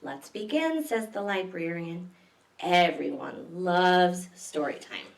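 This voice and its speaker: A woman's voice: fairly low pitch, expressive, takes it slowly, vocal fry, a little hiss or hum. A woman reading picture books aloud to young children as a library story time host, expressively, with questions to her listeners. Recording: echoey room, distant microphone.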